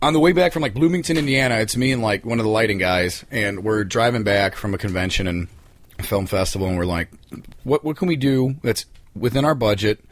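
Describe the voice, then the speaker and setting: A man in his thirties chatting casually as an interview guest, a rambling, excitable storyteller who swears casually. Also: lowish voice, fairly quick. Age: 30s. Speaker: guest, independent filmmaker and actor.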